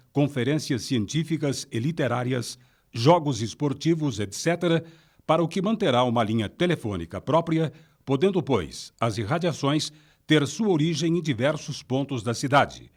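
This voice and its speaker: Brazilian man reading formally, mid-pitched. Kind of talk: formal reading of an old letter.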